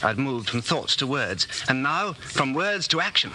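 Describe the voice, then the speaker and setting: An actor playing an earnest, fatalistic rebel in a 1940s radio drama, speaking with grave, declamatory intensity. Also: male voice, medium pitch, very animated, moderate pace, pushed and bright. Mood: fervent, fatalistic. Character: earnest, fatalistic male rebel, secretly in love. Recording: noisy 1949 radio broadcast recording.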